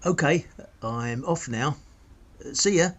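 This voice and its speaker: A man's voice: fairly high pitch, expressive, slow. An actor with a British accent playing a self-satisfied, penny-pinching money-saving vlogger who chats matter-of-factly to his viewers in a radio play. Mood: upbeat, chatty. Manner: chatty, matter-of-fact, addressing viewers. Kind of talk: radio play monologue as a vlog.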